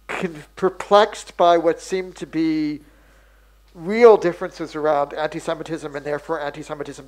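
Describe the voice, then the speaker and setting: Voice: mid-range voice, low-quality recording. An audience member at an academic conference asks a hesitant question, full of ums and restarts. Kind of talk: academic panel discussion.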